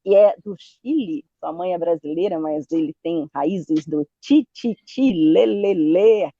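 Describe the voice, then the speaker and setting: Brazilian woman hosting, dark dull sound. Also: on the low side, slow pace, no room sound. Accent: Brazilian Portuguese. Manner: repetitive, warm-up style, many fillers like "né" and "portanto". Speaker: podcast host. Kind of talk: hosting a live video chat.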